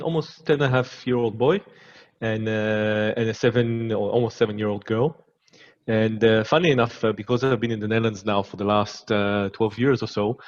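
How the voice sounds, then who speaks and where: A man in his forties, originally from Israel, speaking as an interview guest with a relaxed, chatty manner. His voice sits a little low. The sound is slightly dull and lo-fi, like a remote call. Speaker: guest, security executive. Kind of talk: casual interview.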